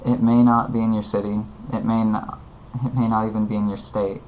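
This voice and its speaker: A man's voice: on the low side, little pitch movement, fairly quick. A man giving rambling, earnest advice in a casual video recorded at home. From United States. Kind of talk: casual heartfelt advice monologue. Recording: dull lo-fi home video with faint hiss.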